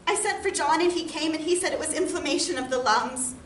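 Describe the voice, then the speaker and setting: A loving, anxious farm wife of the 1860s, played by a woman on stage, speaks with a high, earnest voice. Her phrasing is plain and old-fashioned, like heartfelt letters delivered as a theatrical monologue. The recording is roomy and distant, with some background noise. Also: brisk, natural voice. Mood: troubled, longing.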